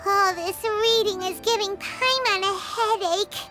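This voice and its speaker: A woman voicing a small, cute, excitable childlike fairy companion in a video game, with a squeaky voice. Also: measured pace. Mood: weary. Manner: exclaiming, refers to self in third person. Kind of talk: video game character acting. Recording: loud music behind. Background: loud tinkling childlike music.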